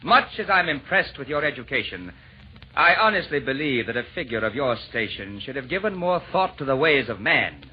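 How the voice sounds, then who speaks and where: An actor playing a genial, unflappable old gentleman who reasons courteously in rhymed verse, in old-time radio comedy style. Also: mid-range voice, big pitch swings, normal speed, natural voice. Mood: reasoning. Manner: courteous, rhyming, articulate. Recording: dull, noisy vintage radio recording.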